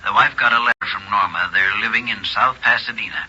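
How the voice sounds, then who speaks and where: A man's voice: fairly low pitch, a little fast, sharp tone, husky, heavily acted. An American actor playing a worried, cooperative middle-aged father, earnest and plain-spoken, in a 1950s radio drama. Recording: muffled, noisy old radio recording.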